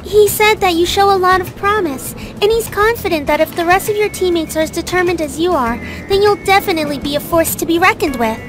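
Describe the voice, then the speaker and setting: A friendly anime game schoolgirl with a very high voice. She is polite and earnest as she explains things. Music is clearly heard behind her. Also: a little fast, hardly any pauses.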